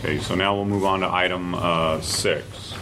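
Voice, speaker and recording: A man's voice: low voice, steady tone, moderate pace. A man chairing a public board meeting, running through procedure off the cuff. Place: indoor public meeting room. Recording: clearly noisy meeting room recording.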